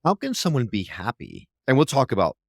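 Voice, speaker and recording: A man's voice: mid-range voice, wildly swooping pitch, a little fast, gravelly, dry recording, clean studio sound. A man co-hosting a podcast who talks eagerly and effusively, sometimes stumbling over his words. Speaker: podcast co-host. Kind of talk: podcast interview.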